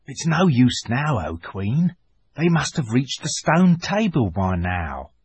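Grim storybook dwarf, voiced by a male reader: gloomy, terse servant with a rough voice. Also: medium pitch, big pitch swings, slow pace, heavily acted. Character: grim dwarf servant advising an evil queen. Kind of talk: dramatic audiobook character voice.

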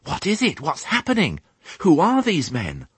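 A small young boy piglet from a storybook, pitched far up from a grown man's range. He is earnest and courteous, with well-spoken, brave innocence. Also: wildly swooping pitch, moderate pace, husky, no echo, exaggerated voice. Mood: anxious, puzzled.

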